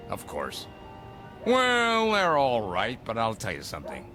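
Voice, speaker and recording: Grandiloquent older gentleman, wildly animated. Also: mid-range voice, slow pace, soft background music, exaggerated voice. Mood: genial, knowing. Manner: grandiloquent, avuncular, emphatic. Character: eccentric older gentleman, secretive rebel mentor, fond of laughter. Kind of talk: theatrical audio drama acting.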